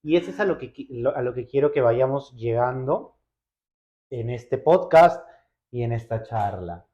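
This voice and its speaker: A man's voice: medium pitch, animated, measured pace, warm tone. A man hosting a podcast, speaking in an attentive, conversational interview style on dull, lo-fi audio. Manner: attentive, frequent short acknowledgements. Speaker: podcast host.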